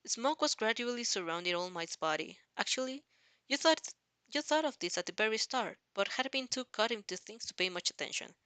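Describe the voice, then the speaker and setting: Amateur female narrator for dramatic fan fiction, bright forceful tone, dry close sound, halting delivery with repeated phrases. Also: a little high, expressive, clean tone, dry recording. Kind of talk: dramatic second-person story narration.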